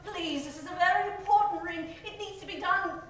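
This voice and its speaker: A woman's voice: very high-pitched, a little fast, a bit bright, slight background noise. A woman acting a spoiled, petulant young lady customer with a whiny, pleading manner in a radio comedy. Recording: echoey, distant live stage recording.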